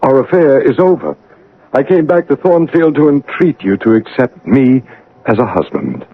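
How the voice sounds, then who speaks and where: An actor playing a brooding, commanding gentleman master and passionate suitor in an old-time radio drama, abrupt and ardent in manner. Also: medium pitch, lively intonation, slight background noise, close to an everyday voice. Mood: ardent, urgent. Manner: teasing, abrupt, ardent, halting for effect. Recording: dull, band-limited old radio broadcast.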